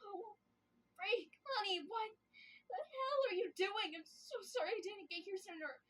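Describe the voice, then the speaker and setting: A woman's voice: very high-pitched, a little slow, fairly bright tone, recorded from a distance, pitched above the performer's own voice. A woman doing a frantic pleading young man. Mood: desperate. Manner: pleading, voice breaking.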